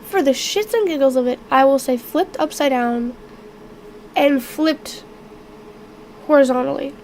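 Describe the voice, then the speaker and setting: A woman running a tabletop game on stream, halting and clearing her throat as she narrates while sick and losing her voice. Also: high-pitched, darkish tone, slight background noise. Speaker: game master.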